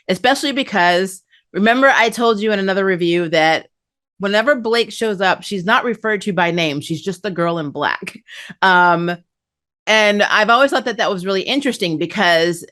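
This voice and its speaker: A woman's voice: medium pitch, a bit bright. A woman hosting a review show, talking through an episode in a casual, conversational and explanatory way. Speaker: host of an anime review show.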